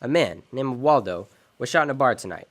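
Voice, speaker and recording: A hardboiled detective from a noir radio play acted by a man. He talks in sardonic slang and tosses off wisecracks coolly, in a medium-pitched male voice. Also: lively intonation, fairly quick, natural voice. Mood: sardonic, businesslike. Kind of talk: radio noir drama acting with narration.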